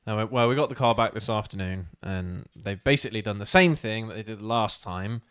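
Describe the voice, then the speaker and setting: A man's voice: lowish voice, a little fast, fairly bright tone, smooth, no room sound, clean studio sound. A man co-hosting a casual live-stream chat, often restarting his sentences and laughing. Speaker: co-host of a motorcycling channel.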